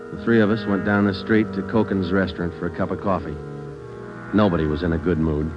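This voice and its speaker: An actor playing a calm, businesslike police detective, clipped and matter-of-fact, in a vintage radio crime drama. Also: male voice, low voice, lightly acted. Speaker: lead detective and narrator. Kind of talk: 1940s radio acting, scripted narration. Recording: dull old radio recording, music underneath.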